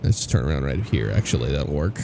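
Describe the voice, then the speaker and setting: A young American man with a cold-roughened, hoarse voice, rambling casually as he comments on a driving game. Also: rumbling low, fairly quick. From United States. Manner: rambling, relaxed, many fillers and restarts. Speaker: gameplay commentator. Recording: game car sounds loud behind voice. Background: car engine and road noise from the game.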